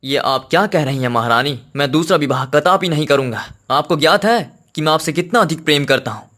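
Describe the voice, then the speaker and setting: A man's voice: a little high, expressive, a little fast, fairly bright tone. A man voicing a devoted, earnest king in a cartoon, with formal, regal speech. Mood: earnest, concerned. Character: devoted, earnest king, husband and worried father.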